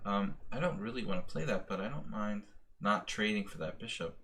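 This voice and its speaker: Man, low voice, casual chess stream commentary, chatty, teasing the opponent. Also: expressive, a little fast, a bit bright. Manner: chatty, talks to chat and opponent while thinking moves; teasing, mock-scolding the opponent.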